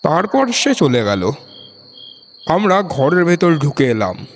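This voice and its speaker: Animated male ghost story narrator. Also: on the high side, slow pace, a little hiss or hum. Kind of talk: scripted first-person ghost story narration.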